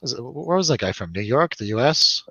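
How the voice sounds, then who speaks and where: Male show host, mid-pitched, easygoing and a little hesitant, casual review chat, dry and slightly muffled recording. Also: lively intonation, brisk, bright, forceful tone, breathy edge, dry recording.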